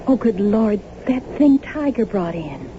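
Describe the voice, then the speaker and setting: Expressive, anxious young American wife. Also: female voice, medium pitch, a little slow. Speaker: radio drama actor. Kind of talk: old-time radio drama acting. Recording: muffled, noisy radio drama broadcast.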